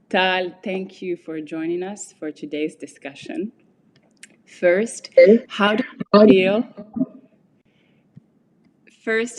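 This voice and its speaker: A woman's voice: on the low side, slight background noise. A woman hosting an online panel, reading a formal guest introduction with enthusiasm. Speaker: event host.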